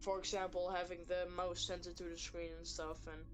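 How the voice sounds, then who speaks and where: A woman's voice: fairly low pitch, level tone, fairly quick. A woman game developer chatting casually, in very informal speech peppered with filler words like "like". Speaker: game developer, guest collaborator. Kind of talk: casual chat. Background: music behind the voice.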